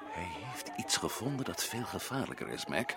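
An actor playing a shrewd, commanding male expedition leader in a radio play, who often lowers his voice to a conspiratorial hush. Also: sing-song, normal speed, over loud music. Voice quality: low voice, drops to hushed whispers. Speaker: adventurer in Africa.

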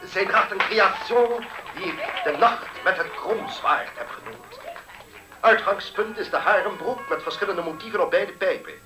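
Pompous high-voiced male fashion designer. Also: measured pace, poor audio. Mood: grandly presenting. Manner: pompous, indignant. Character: vain, bellowing, self-important male fashion designer. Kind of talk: 1970s Dutch radio play acting. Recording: 1970s radio play, music behind.

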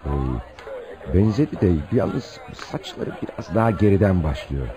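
A man's voice: low voice, sing-song, normal speed, crowd noise. An actor playing an earnest, anxious city man on a search, polite and hesitant, in a radio drama.

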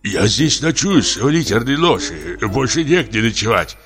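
A man's voice: mid-range voice, very animated, normal speed, fairly bright tone, voice pushed up. A man voicing a timid, respectful theatre prompter who speaks tenderly and deferentially. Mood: timid, pleading. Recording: music under the voice. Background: music.

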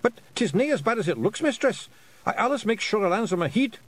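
A man voicing a very small, jumpy, sheepish pictsy pilot with an excitable, deferential Scottish way of talking, in a storybook reading. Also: sits high, brisk, voice pushed up. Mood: nervous deference. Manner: excitable, deferential dialect speech.

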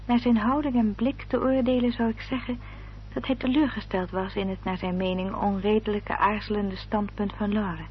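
An actress playing a sensible, protective elder sister in a radio drama, composed and articulate. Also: female voice, mid-range voice, steady tone, moderate pace, natural voice. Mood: composed narration. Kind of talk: radio drama acting and narration. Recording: dull old radio recording, faint hum.

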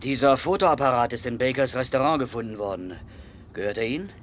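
A man's voice: mid-range voice, animated, quick pace, a little hiss or hum, natural voice. An actor playing an earnest, sceptical young Dakota man, a shoemaker, arguing his case in a German radio play. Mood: polite. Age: young adult. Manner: earnest, argumentative.

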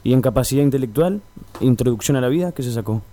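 A man's voice: mid-range voice, expressive, fast talker, dark tone, close to an everyday voice. A man playing a pompous, sarcastic casting director with an Argentine accent in a comic radio play. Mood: condescending. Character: haughty, scornful male casting director.

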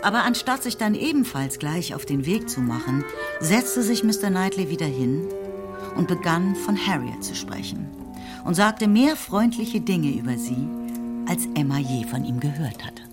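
A woman narrating a radio play in a measured, scripted manner. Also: fairly low pitch, big pitch swings. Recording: loud music behind the voice.

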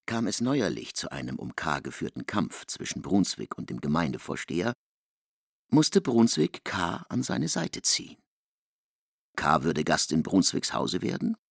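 A man's voice: low voice, very animated, measured pace, vocal fry, slightly rough, no room sound, clean studio sound. A man narrating a literary radio play as a dramatic storyteller.

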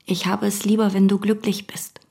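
An actress playing a gentle, forbearing and devoted wife in an intimate radio drama. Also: female voice, medium pitch, level tone, moderate pace, gravelly, slightly rough.

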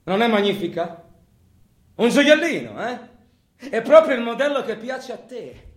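A man playing a cocky, menacing robber who taunts his partner in crime in a theatrical monologue, swinging between loud bragging and quiet menace. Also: extremely high pitch, sing-song, unhurried, exaggerated voice. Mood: gloating. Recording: echoey room, faint background noise.

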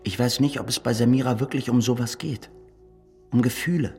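A man's voice: medium pitch, steady tone, fairly quick, gravelly, a little mellow, soft background music, natural voice. An actor playing a worried, earnest male writer in a German radio play, with a hesitant, searching and pleading delivery. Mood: troubled, unsure.

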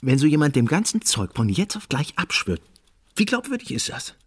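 An actor playing a restless, stubborn police investigator in a radio drama, with a rough, husky voice and a halting, colloquial, insistent manner. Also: male voice, fairly high pitch, wildly swooping pitch, full character voice. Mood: probing. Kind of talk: naturalistic German radio drama acting.

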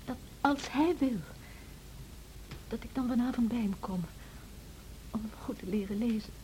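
A woman's voice: fairly high pitch, moderate pace, a little mellow, close to an everyday voice. An actress playing a pleading young woman, hesitant in her delivery, in a radio drama. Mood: pleading, contrite. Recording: noisy old recording with clicks.

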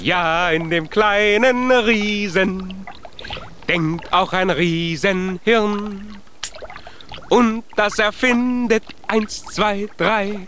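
An actor playing a proud, cheerful young dwarf inventor in a children's radio play, speaking with boastful emphasis. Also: male voice, high-pitched, a bit bright, slight background noise, exaggerated voice. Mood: proud singing. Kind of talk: children's radio play acting with some singing.

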